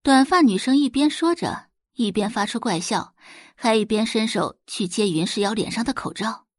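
A woman's voice: fairly high pitch, normal speed, dry recording, studio quality. A woman reading a scripted story expressively as an audiobook narrator.

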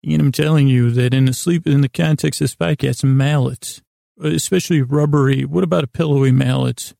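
A man with a very creaky, gravelly voice and a dark, mellow tone, recorded close and dry. He rambles through an improvised sleep podcast monologue, halting, doubling back and musing on small absurdities. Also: medium pitch, fairly even intonation, dry recording. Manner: meandering, halting, often trails off and restarts; gently absurd, whimsical musing. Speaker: podcast host.

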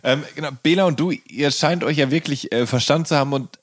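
A male interviewer chatting casually, often halting and correcting himself as he searches for words. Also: a little high, no echo, clean studio sound. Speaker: interviewer, podcast host.

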